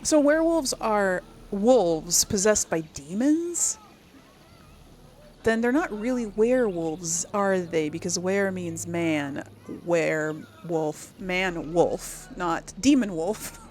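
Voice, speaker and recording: A woman's voice: on the low side, expressive, slow pace, a little hiss or hum. A woman hosting a casual video game role-play, musing and reacting to the game as she plays. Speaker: gaming video host and player. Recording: voice over clear game ambience. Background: video game ambience.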